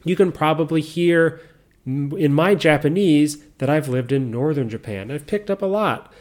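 A middle-aged man with a mixed American accent and faint Southern roots, hosting a podcast in slow, clear teaching talk for learners. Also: on the high side. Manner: calm, explains step by step, repeats key points. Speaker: podcast host.

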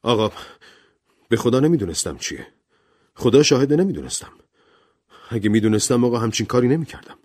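A man's voice: medium pitch, expressive, pauses often, darkish tone, husky, pitched above the performer's own voice. A man voicing an anxious, deferential manservant who speaks in a pleading, servile way in an audio story.

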